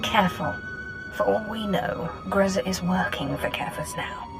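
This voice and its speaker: Woman as an imperious, cold dark lord over music. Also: medium pitch, normal speed, natural voice. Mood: cool, wary. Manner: authoritative, cutting, aloof. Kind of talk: scripted video game acting.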